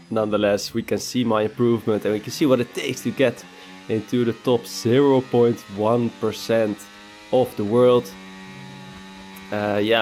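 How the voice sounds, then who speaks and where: A man's voice: mid-range voice, darkish tone. A man, talking through his racing game laps in a laid-back, chatty voice-over while nursing a cold. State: has a cold. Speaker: racing game content creator. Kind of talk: casual gameplay commentary voice-over.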